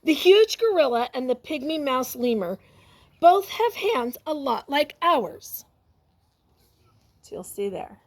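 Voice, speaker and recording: High-voiced, unhurried woman reading to children. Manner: exclamatory, expressive, asks listeners questions. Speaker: teacher reading a picture book aloud to children. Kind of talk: animated read-aloud to young children with asides.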